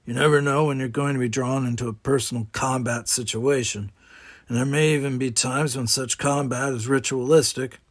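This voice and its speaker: A man voicing a confident, burly older male combat instructor, lecturing in a measured, authoritative way. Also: mid-range voice, a bit bright, dry recording, lightly acted.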